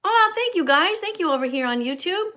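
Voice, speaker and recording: A woman's voice: extremely high pitch, expressive, brisk, pushed and bright. A woman hosting a casual livestream, chatty as she reads viewer comments aloud. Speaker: livestream host.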